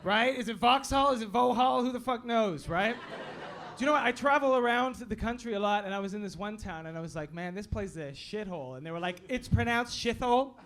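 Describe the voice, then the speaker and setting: A man's voice: high voice, brisk, fairly bright tone. A Canadian man with a Canadian accent doing stand-up on a club stage, rambling and riffing with the audience. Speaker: stand-up comedian. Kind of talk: stand-up set with crowd work. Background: audience laughing.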